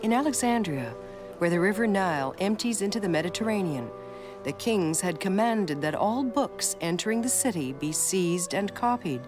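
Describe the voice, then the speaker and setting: A woman reading a scripted narration for an educational film, telling it in a measured storytelling way. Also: mid-range voice, expressive, over music. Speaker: documentary narrator.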